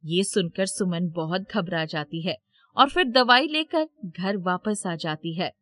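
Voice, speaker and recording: A woman's voice: on the low side, lively intonation, unhurried, a bit bright. A woman storyteller reading scripted narration for a moral tale.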